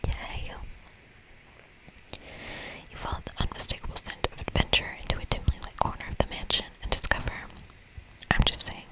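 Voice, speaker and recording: Whispering woman, playful ASMR game reader. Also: rough recording. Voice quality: breathy whisper. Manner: playful, casual. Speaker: ASMR streamer reading a video game aloud. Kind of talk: whispered ASMR playthrough, reading dialogue and commenting.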